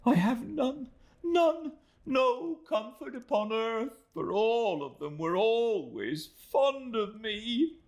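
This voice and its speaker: A man voicing a desperate young woman who weeps and begs for mercy in a high, sobbing voice. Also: lively intonation, slow pace, a little mellow, full character voice. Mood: pleading, sobbing.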